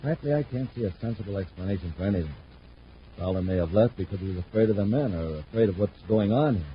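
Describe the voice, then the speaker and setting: An American actor playing a troubled, plain-spoken construction superintendent in a vintage radio adventure serial. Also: male voice, fairly low pitch, expressive, fast talker, lightly acted. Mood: uneasy, earnest. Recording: muffled, faintly noisy vintage radio recording.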